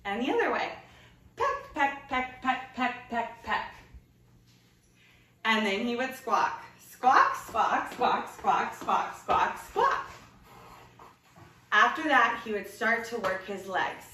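A woman's voice: on the high side, very animated, takes it slowly, pauses often, pushed and bright, a little hiss or hum. A young woman coaching children through an exercise story, upbeat and sing-song as she counts out the moves. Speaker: kids' fitness coach. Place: bare indoor hallway. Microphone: camera across the room. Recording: echoey room, voice from a distance.

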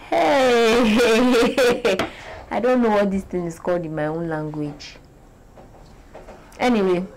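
A young woman from Nigeria with a Nigerian accent, talking to the camera in a casual, animated vlog from a small bright room. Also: on the low side, slight background noise, poor audio. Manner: animated, chatty, gestures a lot. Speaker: beauty vlogger.

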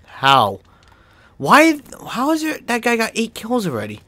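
Young man, Boston accent, gameplay commentary, lively swooping pitch, dry close mic. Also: unhurried, no room sound. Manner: excitable, swears and laughs often, yelps when startled. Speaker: gaming video creator.

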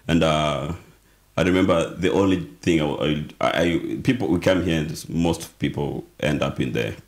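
A man in his thirties with a Kenyan accent, a guest telling his story in a relaxed, halting way and slipping into Swahili phrases, on a desk podcast mic in a small studio. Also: very deep voice. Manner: storytelling, mixes in Swahili phrases, many restarts. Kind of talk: casual interview. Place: small indoor studio with dark curtains. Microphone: desk podcast mic on arm.

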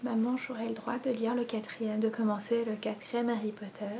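A woman's voice: on the high side, level tone, moderate pace, gravelly, mellow, a little hiss or hum. Chatty woman in her thirties vlogging. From France. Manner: chatty, rambling, many fillers and restarts. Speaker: knitting vlogger. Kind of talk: casual chat to camera. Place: living room at home, indoors. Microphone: camera in front, head and shoulders.

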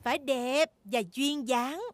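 A woman's voice: high voice, slow pace. A woman playing an encouraging, poised female gazelle who teaches ballet in a dubbed children's cartoon. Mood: instructing, encouraging. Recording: loud music behind the voice.

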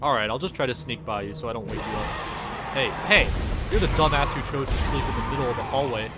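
A man's voice: mid-range voice, fast. Sarcastic, foul-mouthed man over game music. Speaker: gameplay series creator voicing the player character. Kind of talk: comedic improvised-sounding game dub commentary.

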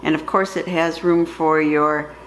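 A woman's voice: low-pitched, level tone, unhurried. A middle-aged woman giving a casual hands-on product demonstration in a plain-spoken, explanatory way, recorded in a home kitchen with the camera a few feet away. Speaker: product reviewer. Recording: roomy echo, faint background noise.

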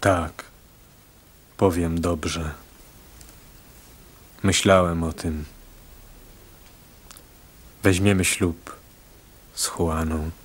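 An actor playing a humble, dreamy poor fisherman in a radio play, speaking quietly and resolutely in halting phrases. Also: low-pitched, steady tone, slightly rough, exaggerated voice. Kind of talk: radio drama acting.

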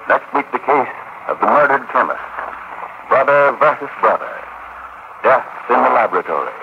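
Guy doing dramatic 1940s radio announcing, gravelly, punchy, lots of noise. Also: mid-range voice. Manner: punchy, dramatic teaser delivery. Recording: noisy, muffled 1940s radio broadcast.

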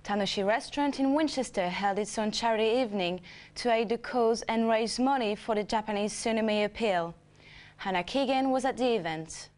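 A woman's voice: a little high, brisk, darkish tone. A woman presenting a scripted news bulletin.

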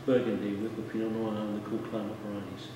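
A man's voice: on the low side, little pitch movement, quick pace, mellow, a little hiss or hum. An older man, a winery owner and winemaker, talking bluntly and emphatically in a casual interview, often repeating words as he thinks. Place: large winery tasting room, indoors. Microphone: handheld mic, wide camera. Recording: echoey room from far off.

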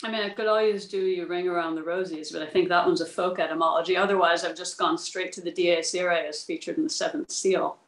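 A woman's voice: fairly low pitch, fairly even intonation, quick pace, hardly any pauses. A woman speaking as an inquisitive, articulate panelist in casual discussion. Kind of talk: casual, animated panel chat. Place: indoor room, video call. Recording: echoey room on video call.

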